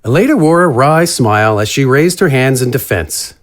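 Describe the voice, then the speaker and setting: A man's voice: medium pitch, lively intonation, moderate pace, close to an everyday voice. A man narrating a scripted fantasy story as its narrator.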